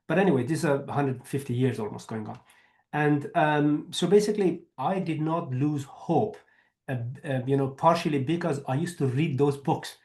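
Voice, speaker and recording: A man's voice: fairly high pitch, moderate pace, darkish tone. A man from Afghanistan, a historian answering questions in an online seminar, speaking conversationally with many "you know" fillers. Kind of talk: academic talk and Q&A. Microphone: video call.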